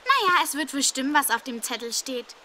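A confident little girl in a children's radio play. Her voice is high and bright and she speaks unhurriedly, over audible sound-effect noise. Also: animated, a little fast. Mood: curious, musing. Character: cheerful, confident young girl on a scavenger hunt. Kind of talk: children's audio drama acting.

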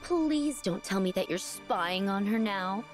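A woman's voice: medium pitch, lively intonation, measured pace, music in the background, close to an everyday voice. A woman voicing a smug, taunting young villainess in a video game, with a sarcastic, condescending drawl. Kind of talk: video game cutscene acting.

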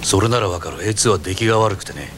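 A man's voice: low voice, expressive, quick pace, fairly bright tone, slight background noise. A middle-aged man dubbing film characters, stern and commanding, often menacing. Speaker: voice actor dubbing film roles. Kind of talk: film dubbing, dramatic acting.

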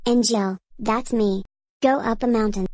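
Little girl dinosaur, high voice, eager, childlike, cartoon younger sister. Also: steady tone, a little slow, a little mellow, dry recording, clean studio sound. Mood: eager, playful.

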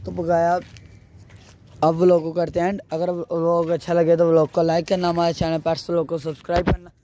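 Hoarse young man, flat delivery. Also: a little high, brisk. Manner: chatty, loosely rambling while showing things. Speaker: vlogger. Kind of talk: casual vlog chat. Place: outdoor rooftop. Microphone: handheld phone.

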